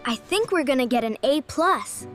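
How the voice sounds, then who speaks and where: A woman's voice: sits high, animated, moderate pace, a bit bright, faint music behind. A woman voicing an eager, kind-hearted schoolboy in a children's cartoon story, earnest and exclamatory. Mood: excited, encouraging. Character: excitable, eager, kind-hearted schoolboy building a science project.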